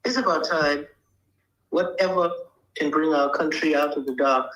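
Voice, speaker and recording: A woman's voice: low-pitched, moderate pace, fairly bright tone, roomy sound, rough recording. An older woman from Liberia, speaking bluntly and emphatically as an interview guest, often checking that she is understood. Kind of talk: casual interview talk.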